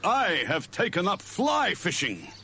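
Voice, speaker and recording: A man voicing a loud, boastful, pompous grown man in a storybook reading. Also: high voice, huge pitch swings, slow, breathy edge, voice pushed up, heavily acted. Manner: blustery and self-important.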